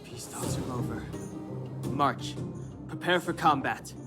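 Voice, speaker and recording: A focused young man voiced by a male actor with a mid-range pitch. He is composed, serious and economical with words in a game cutscene, with music clearly behind him. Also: takes it slowly, lightly acted. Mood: resolute. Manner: calm, terse, serious. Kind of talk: video game cutscene acting.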